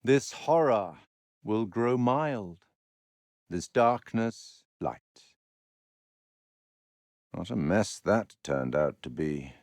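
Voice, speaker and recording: An actor playing an urbane, sardonic male curator in a video game, narrating others' fates with formal, wry, theatrical delivery and dramatic pauses. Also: on the low side, animated, a little mellow, no room sound, studio quality, exaggerated voice. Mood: somber.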